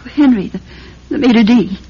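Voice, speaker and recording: A woman's voice: on the high side, a little slow, breathy edge. An actress playing a troubled young woman in a radio drama, anxious, affectionate and vulnerable. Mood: shaken. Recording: dull radio recording, loud music behind.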